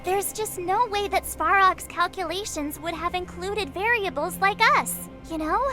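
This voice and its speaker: A woman's voice: very high voice, measured pace, pushed and bright, soft background music, heavily acted. A woman voicing a perky, outgoing teenage girl adventurer in a video game, chatty and emphatic. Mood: eager, persuasive.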